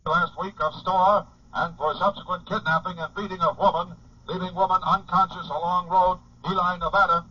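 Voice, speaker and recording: A man's voice: sits high, fairly even intonation, moderate pace, bright, forceful tone. A man reading a police bulletin in 1940s radio announcer style. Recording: noisy, muffled old radio broadcast.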